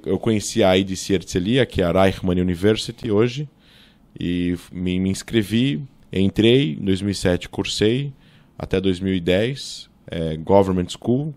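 Deep voiced sao paulo guy in his 30s, podcast guest, talks fast with lots of um and restarts, studio mic. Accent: Brazilian Portuguese, São Paulo. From Brazil. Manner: fast, animated storytelling with many fillers and self-corrections. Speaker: guest, political scientist. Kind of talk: casual interview, storytelling. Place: small studio with table and monitor. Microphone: boom-arm studio mic.

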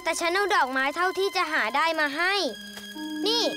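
A woman's voice: very high voice, moderate pace. A woman voicing a confident, kind-hearted boy superhero leader in a children's cartoon, encouraging and self-assured. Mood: gentle. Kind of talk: children's cartoon dub acting. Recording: music under the dialogue.

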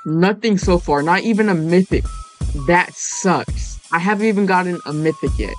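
Raspy young guy, just woke up, chatty gaming commentary, low game music behind. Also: moderate pace. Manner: chatty, pleading with the game for luck. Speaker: gaming channel host.

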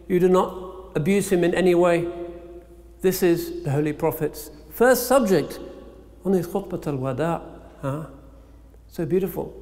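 A middle-aged man delivering a solemn, measured sermon in short rhetorical phrases with pauses, as an imam. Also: sits high, a little mellow. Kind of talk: Eid sermon. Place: large mosque interior, at a lectern. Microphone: microphone on a stand. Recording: long hall reverb.

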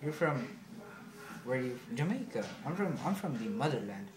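A man's voice: medium pitch, sing-song, normal speed, crowd noise. Goofy young man, echoey room. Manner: goofy, rambling, playfully defensive. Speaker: friend. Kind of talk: casual chat. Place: small bedroom indoors.

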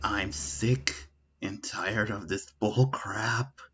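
A man voicing an angsty, short-tempered hedgehog antihero in an improvised comedy parody dub, with a halting, exasperated manner. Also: lowish voice, highs cut off, noisy background. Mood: fed up.

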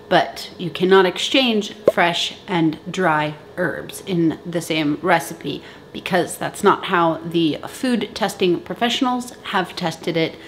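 A woman in her thirties talking to camera in her home kitchen, giving chatty, brisk canning instructions. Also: on the low side, slight background noise. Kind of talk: casual how-to talk to camera. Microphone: camera at close range.

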